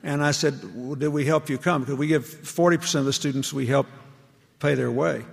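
A man with a gravelly, slightly raised voice lectures to a student audience. He talks earnestly and conversationally, moving easily into anecdotes. The sound carries a long echo from a large hall. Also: on the high side, fairly even intonation, fairly quick. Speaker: public speaker addressing university students.

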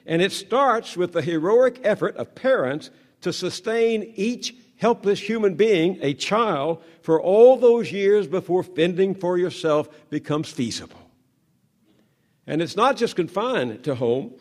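A man in his seventies from the American South, a journalist giving a measured speech with dramatic pauses that trail off to a low hush. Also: animated. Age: 70s.

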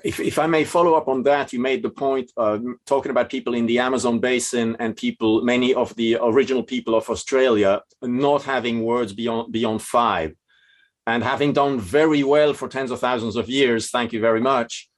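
A man with a Swiss accent moderating an academic panel's questions, halting with many restarts. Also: mid-range voice, steady tone.

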